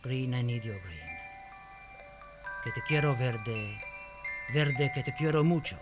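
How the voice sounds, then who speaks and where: An actor playing an impassioned, lyrical Spanish poet held prisoner, declaiming dramatically in a theatrical radio play. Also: male voice, medium pitch, animated, measured pace, over music, close to an everyday voice. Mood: tender longing.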